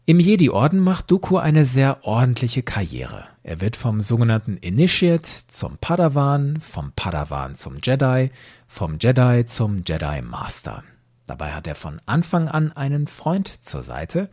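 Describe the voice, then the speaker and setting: A man's voice: medium pitch, wildly swooping pitch, a little slow, darkish tone, slightly rough, no room sound, studio quality. A man hosting a podcast, narrating and explaining, posing questions and answering them. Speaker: podcast host.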